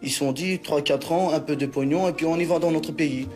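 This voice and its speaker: A man with a slightly high voice talks in a relaxed, conversational way, with a few hesitations. Clear background music plays under his voice, as in a documentary reportage. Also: fairly quick. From Belgium. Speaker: interviewee. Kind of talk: documentary interview.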